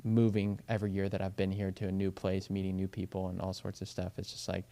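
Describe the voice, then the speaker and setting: A man's voice: deep voice, monotone, fast talker, warm tone. A young adult man, a baseball coach, talking casually on a podcast and rambling with lots of fillers. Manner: rambling, heavy on fillers like "you know" and "like".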